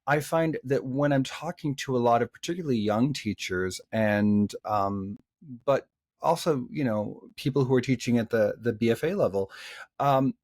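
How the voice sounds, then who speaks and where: A man of middle pitch talks thoughtfully as a podcast guest, circling his points with plenty of "you know" and "um". His voice sits close and dry against the mic, with no room sound around it. He explains at length, unhurried and reflective. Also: darkish tone, no room sound. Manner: thoughtful, many fillers and restarts. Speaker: vocal coach guest. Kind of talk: conversational podcast interview.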